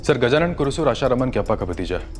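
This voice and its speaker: An actor playing a methodical police investigator who asks measured questions. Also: male voice, medium pitch, very animated, soft background music, lightly acted. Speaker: police officer.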